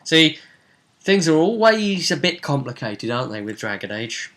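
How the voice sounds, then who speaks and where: A man's voice: on the high side, very animated, moderate pace, fairly bright tone. A man acting as game master, chattily recapping a tabletop role-playing session in an informal, rambling way. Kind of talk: casual conversational recap.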